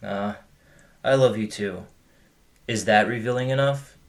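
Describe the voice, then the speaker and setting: An actor playing a wry, self-deprecating boyfriend with dry humour in audio drama dialogue. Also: male voice, fairly low pitch, a little slow. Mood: awkward, tender.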